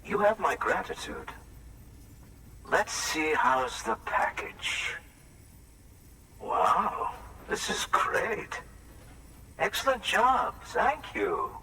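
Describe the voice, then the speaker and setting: A man's voice: sits high, huge pitch swings, drawn-out words, gravelly, pauses often, pushed and bright, recorded from a distance, a little hiss or hum, exaggerated voice, low-quality recording. An actor playing a guarded, reclusive man in a video game, musing in conversational, emphatic speech. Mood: grateful. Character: guarded, reclusive male shelter dweller, grateful but distrustful.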